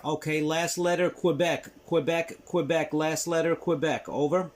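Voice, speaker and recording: Man on ham radio amid loud static. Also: a little high, steady tone, measured pace. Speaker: amateur radio operator and vlog host. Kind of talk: casual radio commentary and on-air call-signs. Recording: very noisy, radio static and hiss. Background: loud ham radio noise.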